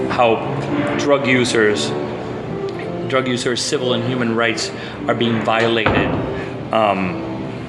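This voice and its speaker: A man from Puerto Rico, a social psychologist, talking earnestly as a guest in an interview, with frequent restarts and fillers. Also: medium pitch. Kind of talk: conversational interview.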